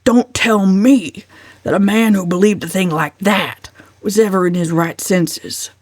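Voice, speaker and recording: A woman's voice: medium pitch, a little slow, a little mellow, no echo, studio quality, natural voice. A woman voicing a bossy, irritable old uncle who growls and snaps bluntly in an audiobook.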